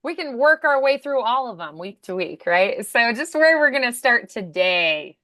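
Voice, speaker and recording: A woman's voice: a little high, very animated, moderate pace, sharp tone, no echo, clean studio sound. A woman coaching a student in a casual one-on-one conversation, with an encouraging, affirming manner. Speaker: voice acting coach.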